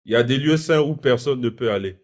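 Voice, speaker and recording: Male debate guest from the Republic of the Congo, mid pitch, calm, hesitant, argues back. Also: quick pace. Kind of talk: live online debate.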